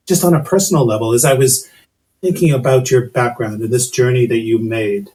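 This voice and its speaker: A man hosting a podcast interview, his voice at an everyday middle pitch. He poses long, considered questions and builds them up slowly before reaching the point. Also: mellow, poor audio. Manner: thoughtful, long winding questions. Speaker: podcast host.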